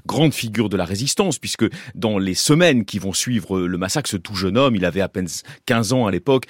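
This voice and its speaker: Low-voiced formal radio host. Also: male voice, dry recording, clean studio sound. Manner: articulate, formal, elaborate phrasing.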